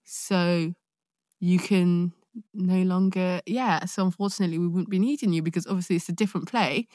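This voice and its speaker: A woman with a London accent in casual conversation, telling a personal story in a candid, expressive way. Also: fairly low pitch, normal speed, no echo, studio quality. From born in Nigeria, raised in London. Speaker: guest, actor.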